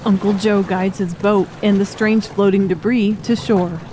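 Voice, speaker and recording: Female audiobook narrator, medium-pitched voice, scripted dramatic storytelling. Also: steady tone, a little slow, dark tone, slight background noise, poor audio.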